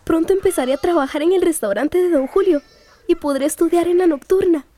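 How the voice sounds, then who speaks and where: A woman's voice: extremely high pitch, a little fast, mellow. An actress playing a timid, mistreated teenage girl in a radio drama, earnest and plaintive. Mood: relieved, hopeful.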